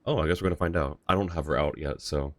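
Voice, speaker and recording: A man's voice: extremely low pitch, steady tone, fast, no room sound. A man chatting casually and reacting as he livestreams a video game. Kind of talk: casual stream chat and reactions.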